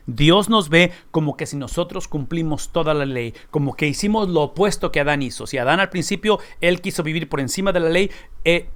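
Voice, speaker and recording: A middle-aged Mexican man with a somewhat high voice teaches a Bible lesson. He talks earnestly and with emphasis, circling back to repeat key phrases and restarting words as he goes. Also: fairly bright tone. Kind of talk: Bible study lecture.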